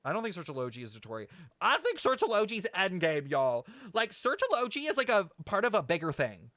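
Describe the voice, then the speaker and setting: High-voiced man, animated sweary streamer. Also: a little fast, dry recording, clean studio sound. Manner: animated, sweary, slangy, addressing chat. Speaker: streamer reacting to a video game. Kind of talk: casual livestream commentary and lore speculation.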